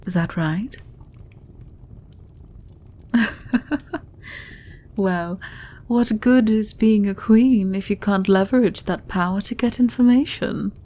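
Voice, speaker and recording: A woman acting as a shrewd, plain-speaking queen in a medieval fantasy audio drama. Her voice is mellow and mid-pitched, with a composed, regal and measured delivery. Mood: wry, assured. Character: gracious, shrewd, plain-speaking dragon shifter queen and mother.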